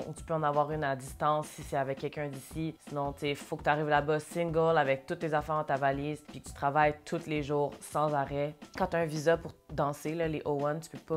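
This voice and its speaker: A woman from Montréal with a Québécois French accent, chatting casually as an interview guest and mixing English phrases into her French. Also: sits low. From Montréal, Québec. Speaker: interview guest, singer and dancer.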